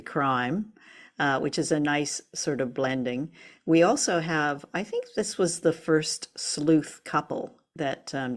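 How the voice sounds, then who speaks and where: A woman with a low-pitched voice talks as a co-host in relaxed conversation about old radio. She is chatty and keen, speaking off the cuff. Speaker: podcast co-host. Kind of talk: casual discussion of old-time radio.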